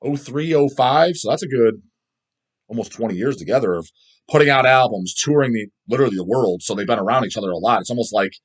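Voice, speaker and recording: A middle-aged man speaks at a quick clip in an average-pitched voice. He rambles casually as a video host answering comments, giving blunt, emphatic opinions and swearing heavily throughout. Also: animated. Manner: blunt, crude, heavy swearing.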